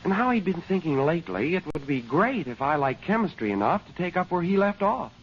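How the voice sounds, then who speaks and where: An actor playing an earnest, sensitive young son in an old-time radio drama. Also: male voice, on the high side, very animated, brisk. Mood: wistful. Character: earnest, sensitive young son worried about his father. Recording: muffled old radio recording, faint noise.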